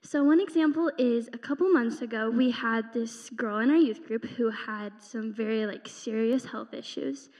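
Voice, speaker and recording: A woman's voice: on the high side, moderate pace, few pauses, darkish tone. A teenage girl speaking at a youth church service, telling stories in a chatty, upbeat way. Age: teens. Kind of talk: church testimony talk. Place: church sanctuary. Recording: long hall reverb.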